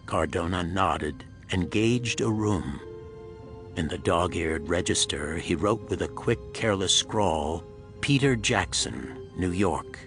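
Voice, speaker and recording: A man giving scripted narration of a pulp thriller audiobook in a husky voice. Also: sits low, expressive, takes it slowly, music in the background.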